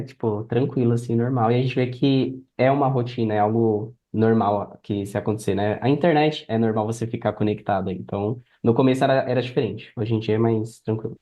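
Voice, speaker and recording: A man's voice: medium pitch, fairly even intonation, brisk, hardly any pauses, warm tone, highs cut off. A Brazilian man of about thirty telling his own story casually as a podcast guest.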